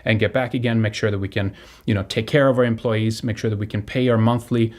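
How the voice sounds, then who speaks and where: A man from Sweden with a fairly low voice speaks as an online host addressing his viewers. He is a native Swedish speaker talking off the cuff, and he often doubles back and repeats words as he goes. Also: steady tone. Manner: halting, repeats and restarts words. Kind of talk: unscripted appeal to viewers.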